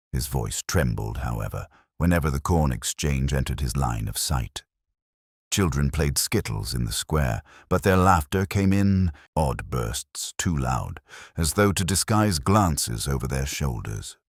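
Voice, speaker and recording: A man reading a scripted mystery story in a measured, wry, literary manner. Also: extremely low pitch, slightly rough, no room sound, clean studio sound. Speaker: narrator. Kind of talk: scripted mystery audiobook narration.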